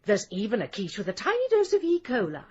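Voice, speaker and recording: Gloating English poisoner, husky, wildly swooping. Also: female voice, medium pitch, huge pitch swings, fast talker, highs cut off. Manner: mocking, theatrical, condescending. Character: gloating, spiteful village woman poisoner. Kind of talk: radio drama acting.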